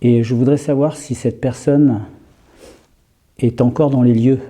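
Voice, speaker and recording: A man with a heavy cold, sniffling, quietly calling out to spirits in an empty house and often trailing off and starting again. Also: medium pitch, steady tone, measured pace. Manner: hushed, hesitant, trailing off and restarting. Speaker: paranormal investigator. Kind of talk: live ghost-hunting vlog, calling out to spirits. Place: empty old house at night.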